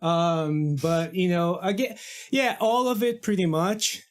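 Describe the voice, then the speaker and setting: A man's voice: sits high, lively intonation, takes it slowly. A man from Brazil, a guest musician in a casual podcast interview, talking enthusiastically with many restarts and fillers.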